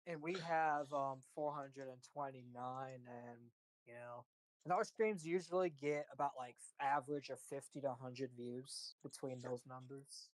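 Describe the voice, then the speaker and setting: Male stream host, rambling, swears freely, filler-heavy, mid-pitched, dry sound. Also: measured pace, no echo, poor audio. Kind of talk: casual live-stream chat.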